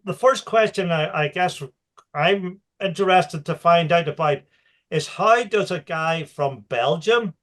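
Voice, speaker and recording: Slightly high-voiced man hosting a video call. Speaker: host.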